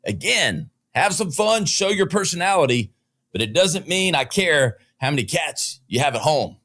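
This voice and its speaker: A man's voice: a little high, big pitch swings, moderate pace, a bit bright. Southern-accented man hosting a podcast. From Southern United States. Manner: opinionated, joking asides. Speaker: podcast host. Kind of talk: solo business news commentary. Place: podcast studio.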